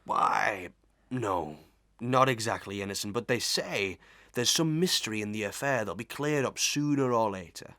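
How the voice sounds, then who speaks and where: A man's voice: on the low side, expressive, normal speed, pushed and bright, no echo, studio quality. A man playing a talkative, self-important village fellow in a Victorian melodrama, rambling through proverbs in a wheedling, hemming way. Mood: confiding. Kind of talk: Victorian melodrama audio acting.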